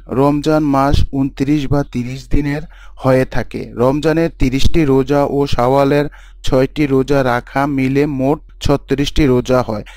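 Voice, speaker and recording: A man playing a pious husband and father in a scripted Islamic story, explaining teachings in a measured, didactic way. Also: on the high side, a little mellow, dry recording, natural voice.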